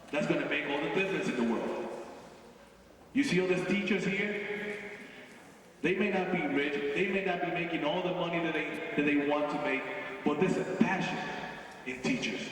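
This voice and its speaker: A man from Boston giving an earnest motivational speech to students at a school, slowing down and repeating his key lines. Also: a little high. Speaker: guest speaker at a school. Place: school hall or gym. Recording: echoey hall, voice from far off.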